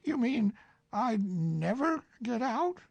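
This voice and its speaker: A woman's voice: fairly high pitch, big pitch swings, vocal fry, slightly rough, no echo, pitched above the performer's own voice, full character voice. A woman doing a plaintive, simple teddy bear. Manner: plaintive, slow and wistful.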